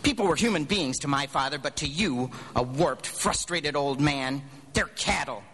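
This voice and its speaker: A man's voice: fairly high pitch, lively intonation, normal speed, fairly bright tone, breathy edge, long reverb tail. An actor playing an earnest, hot-blooded young small-town American man in a live radio drama, folksy and stammering when flustered. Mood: indignant, impassioned. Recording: live stage radio broadcast.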